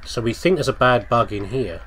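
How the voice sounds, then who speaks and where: A man's voice: medium pitch, brisk, a bit bright, a little hiss or hum. A British man giving casual, playful game commentary, chatting to the character and asking himself questions. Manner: playful, chatty, asks himself questions, reads on-screen text aloud. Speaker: let's play host.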